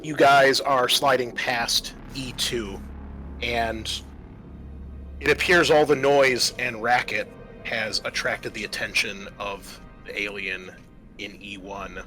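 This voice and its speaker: A man's voice: mid-range voice, fairly even intonation, slow pace, pushed and bright, rough recording. A man narrating a tabletop roleplaying game as game master, improvising descriptions and explaining the rules, with music behind him.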